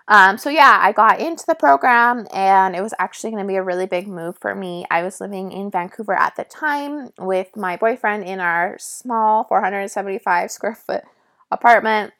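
A young woman from Canada with a raspy voice, a nursing student casually telling her story in a vlog with lots of fillers and asides. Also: medium pitch, fairly bright tone. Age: young adult.

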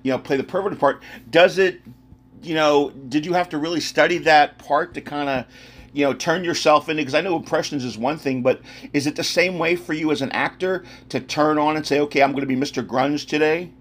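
A man's voice: fairly high pitch, a little fast, fairly bright tone. A man hosting a podcast interview, effusive and rambling, with lots of fillers. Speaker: podcast host. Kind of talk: casual interview chat.

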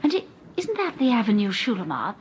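An actress playing an observant, anxious and affectionate detective's wife in a period radio crime drama, speaking in a genteel way. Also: female voice, mid-range voice, huge pitch swings, fairly quick, highs cut off, a little hiss or hum. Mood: curious, uneasy.

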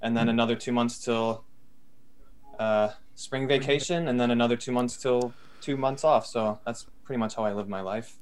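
A man co-hosting a casual online group chat, joking in a deadpan, mock-serious way. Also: medium pitch, moderate pace, clean tone. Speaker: podcast co-host. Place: home, far from family.

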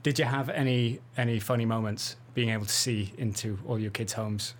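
A man's voice: fairly low pitch, fairly even intonation, fairly quick, slight background noise. A man hosting a casual, conversational interview, asking questions and chatting along. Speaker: host, interviewer.

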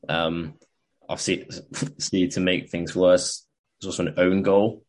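Low-voiced man, halting chat. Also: level tone. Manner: hesitant, many ums and restarts, chuckles often. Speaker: podcast co-host. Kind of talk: casual chat.

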